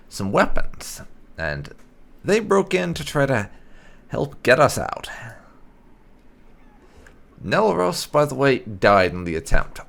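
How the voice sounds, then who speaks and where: A man's voice: creaky, a bit bright. A man hosting a let's play, recapping the story and chatting in a wry, casual way. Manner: wry, swings between high and low tones. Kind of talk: casual game recap and commentary.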